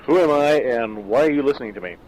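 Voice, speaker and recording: A man in his sixties, an author reading his own horror story and then chatting in a measured, self-deprecating way. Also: mid-range voice, animated, darkish tone, low-quality recording. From California. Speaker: author and narrator. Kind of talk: scripted horror narration and casual backstage talk.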